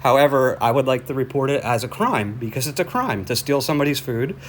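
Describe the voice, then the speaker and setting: Guy on a phone call reporting something, keeps repeating himself, stumbly and insistent, bit of noise. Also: medium pitch. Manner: repetitive, halting, insistent. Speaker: caller reporting a suspicious order to police. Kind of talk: phone conversation, explaining a complaint. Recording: noisy phone call recording.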